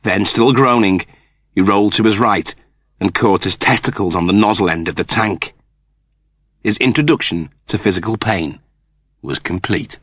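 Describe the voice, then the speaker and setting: A man reading a story as an audiobook narrator, in an expressive scripted style. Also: fairly low pitch, normal speed, dry recording, studio quality.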